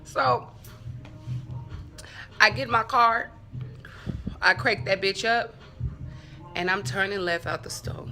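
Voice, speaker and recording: Female storytime vlogger: forceful bright tone, mid pitch, animated, foul-mouthed, chatty and comedic with asides to the audience. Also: takes it slowly, long pauses. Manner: animated, profane, acting out dialogue. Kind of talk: casual comedic storytelling to viewers.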